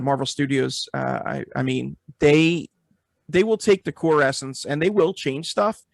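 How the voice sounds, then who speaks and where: A man's voice: medium pitch, vocal fry, no echo, clean studio sound. A man hosting a casual film review chat, speaking in an opinionated, emphatic way. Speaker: podcast host.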